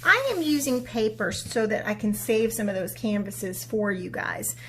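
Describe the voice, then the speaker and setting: A woman's voice: mid-range voice, moderate pace, a little hiss or hum, poor audio. A woman who teaches children's art, speaking expressively and encouragingly, as for a read-aloud or step-by-step lesson. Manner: encouraging, expressive, asks checking questions.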